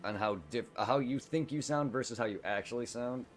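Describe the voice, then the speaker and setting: A man streaming a game, chatting casually in a quiet voice, rambling and correcting himself as he goes. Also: mid-range voice, a little fast. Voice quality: quiet normal voice. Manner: rambling, self-correcting, laughs easily. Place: home streaming setup.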